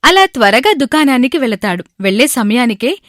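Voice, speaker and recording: High-voiced dry studio female narrator. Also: big pitch swings, normal speed, a bit bright, dry recording. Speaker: story narrator. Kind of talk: scripted moral-story narration.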